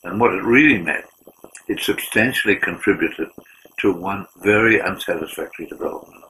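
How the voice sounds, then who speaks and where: An older man, a former UN war crimes investigator, answering interview questions in an earnest, measured way with frequent restarts. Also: low voice, sharp tone, a little hiss or hum, poor audio.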